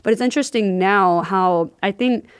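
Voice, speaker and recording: A woman hosting a podcast, chatting casually, filling pauses with "um" and laughing easily. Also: mid-range voice, smooth, no room sound, clean studio sound. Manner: chatty, fills with "um" and "I'm like". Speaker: podcast host. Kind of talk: casual interview chat.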